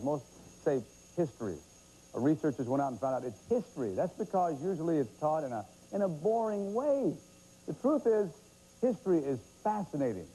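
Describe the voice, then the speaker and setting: A man's voice: fairly high pitch, dark tone, slight background noise, rough recording. A man hosting a late-night talk show, teasing his guests and laughing often. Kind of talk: joking talk show banter.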